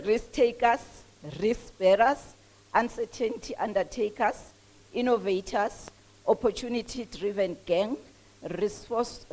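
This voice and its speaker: A woman's voice: mid-range voice, a little mellow, a little hiss or hum, poor audio. A South African woman with a Mpumalanga Swati accent, giving a chatty, self-deprecating launch speech with many restarts.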